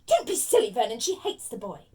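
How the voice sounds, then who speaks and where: A woman voicing a sharp-tongued, fretful middle-aged aunt in a storybook, emphatic and shrill. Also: wildly swooping pitch, brisk, breathy edge, recorded from a distance, full character voice. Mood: snapping.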